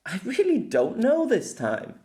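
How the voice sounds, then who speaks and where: A man's voice: sits high, huge pitch swings, unhurried, darkish tone, voice pushed up, full character voice. A man voicing a nonchalant, courteous knight in a fantasy audiobook, speaking in a polite, formal, apologetic way.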